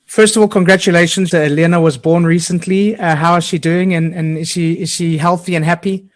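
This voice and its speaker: A man hosting a podcast interview, upbeat and friendly, often pausing with ums and restarting his sentences. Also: a little high, fairly even intonation. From Portugal. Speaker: podcast host.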